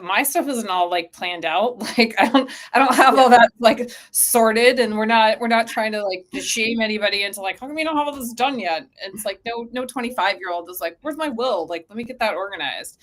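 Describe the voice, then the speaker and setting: A woman's voice: mid-range voice, brisk, hardly any pauses. Casual, conversational woman explaining things. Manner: animated quoting of imagined speakers, frequent "like". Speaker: interview guest, company co-founder.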